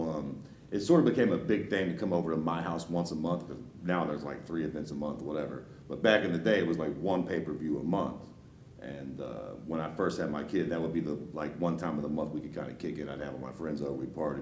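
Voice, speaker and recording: A man in his thirties from Dayton, a podcaster, telling stories in casual talk-show chat with a deep voice. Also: fairly quick, darkish tone, echoey room, a little hiss or hum. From Dayton, Ohio. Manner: laid-back, joking storyteller. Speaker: podcaster guest.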